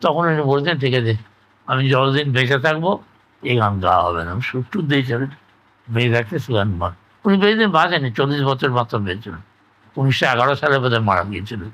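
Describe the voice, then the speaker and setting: An elderly man reminisces in casual conversation, reflective and halting, often repeating himself. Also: medium pitch, expressive, fairly bright tone, low-quality recording. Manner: halting, reflective, trailing into repetitions. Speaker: interview guest, retired engineer recalling life.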